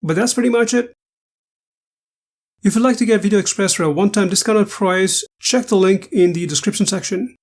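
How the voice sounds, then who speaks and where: A man with a fairly high voice narrates a software tutorial. He works through the material step by step, in a methodical, instructional way that follows a script. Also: brisk.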